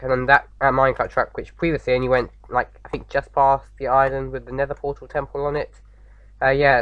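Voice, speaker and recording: A man with a slight sore throat, slightly croaky, chatting casually as he hosts a game playthrough, rambling with lots of fillers. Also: mid-range voice, fairly bright tone, highs cut off. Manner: rambling, many fillers and restarts. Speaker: gaming video host. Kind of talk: casual let's-play commentary.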